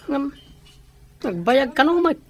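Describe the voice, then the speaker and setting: Earnest Ilocano schoolkid, younger sibling, high voice, full of questions, radio comedy drama. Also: female voice, big pitch swings, takes it slowly, slight background noise, heavily acted.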